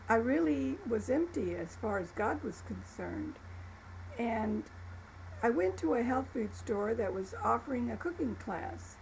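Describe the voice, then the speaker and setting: Brief: older woman, 70s, gravelly and slow, homespun life-story testimony. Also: on the high side, darkish tone, a little hiss or hum, low-quality recording. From Florida, USA. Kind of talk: personal testimony to camera.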